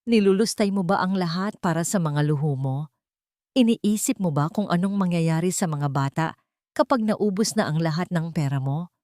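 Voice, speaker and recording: A woman's voice: on the low side, normal speed, dark tone, dry recording, close to an everyday voice. Angry accusing woman, acted by a female storyteller, pressing questions, indignant, audio story drama, dry close sound. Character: angry, accusing woman confronting her partner.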